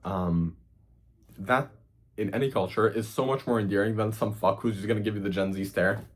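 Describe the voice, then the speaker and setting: A man's voice: lowish voice, normal speed. A young man from Hungary with a slightly Americanized Hungarian accent, the host of a cooking channel, ranting casually to camera, profane and rambling with lots of fillers. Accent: Hungarian, partly Americanized.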